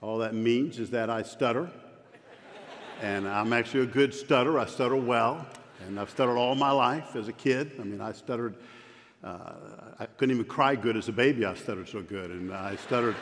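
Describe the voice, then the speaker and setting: A man's voice: mid-range voice, moderate pace, fairly bright tone. A man in his fifties who stutters, preaching a joking, self-deprecating sermon on a church stage. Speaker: pastor. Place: large church sanctuary stage. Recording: long hall reverb, faint room noise.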